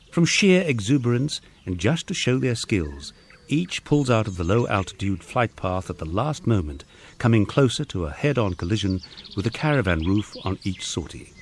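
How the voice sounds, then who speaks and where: Animated, low-pitched male audiobook narrator. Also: slight background noise. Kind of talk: scripted first-person memoir narration.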